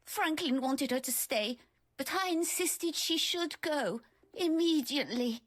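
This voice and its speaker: An actress playing an ailing, querulous upper-class widow in a video game, languid and haughty. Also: female voice, sits high, animated, a little slow. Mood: querulous, disdainful.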